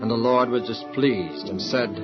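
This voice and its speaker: A man's voice: medium pitch, moderate pace. A man narrating a Bible audio drama in a formal, solemn storytelling style. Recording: dull lo-fi recording with music. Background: dramatic music.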